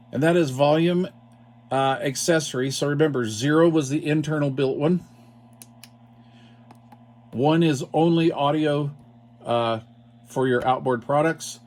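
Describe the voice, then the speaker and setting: A man's voice: medium pitch, a little mellow. Man giving a casual how-to. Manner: informal, explanatory, checks in with "all right" and "okay". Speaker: radio product demonstrator. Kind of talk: casual hands-on tutorial.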